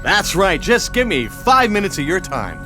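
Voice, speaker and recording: A glib, squeamish alien shapeshifter, played by a man, talks in a high, very animated voice. He is chatty and fussy in the style of comic sci-fi audio theatre, with prominent music behind him. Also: moderate pace. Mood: eager salesman. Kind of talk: comedic audio theatre acting.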